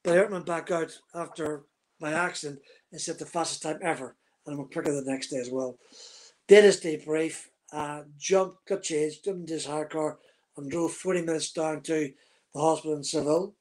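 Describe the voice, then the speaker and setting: A man's voice: fairly high pitch, fairly even intonation, normal speed. A man, a guest on a video call telling a vivid, detailed story from his racing days. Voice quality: husky. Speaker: guest, former racing driver. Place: room at home, indoors. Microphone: webcam on a video call.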